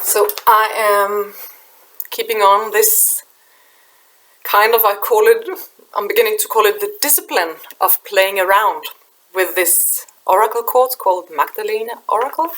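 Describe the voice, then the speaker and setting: A middle-aged woman from Denmark with a Danish accent, speaking English softly at her webcam, halting and repeating words as she gropes for them. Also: mid-range voice, slight background noise, low-quality recording. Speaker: spiritual channeler. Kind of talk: casual spiritual vlog talk. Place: small room indoors. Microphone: webcam in front of her.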